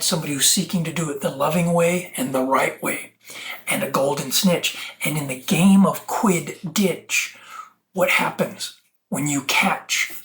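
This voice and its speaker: A speaker from the United States giving an earnest, preachy monologue as an activist presenter, halting and digressive, drawing out words and playing on them. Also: mid-range voice, a bit bright. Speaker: self-taught activist presenter. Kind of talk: rambling monologue to camera.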